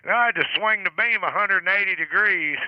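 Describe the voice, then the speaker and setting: A man's voice: high voice, brisk, dry recording. Man, net control, ham radio chat, easygoing, friendly, dry close mic, slightly muffled. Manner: relaxed, friendly, chuckles often.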